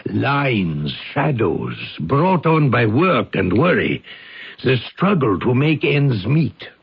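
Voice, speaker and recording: An actor playing a grandiose, smooth-talking elderly bachelor count in old-time radio drama, coaxing in a florid, paternal way. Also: male voice, medium pitch, sing-song, takes it slowly, heavily acted. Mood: grandiose, wistful. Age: older. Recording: dull lo-fi radio recording.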